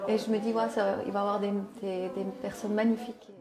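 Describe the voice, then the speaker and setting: A woman's voice: medium pitch, level tone, fast talker. An enthusiastic woman from Switzerland talking upbeat about an event she is attending. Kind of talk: vox pop interview. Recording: echoey room with crowd.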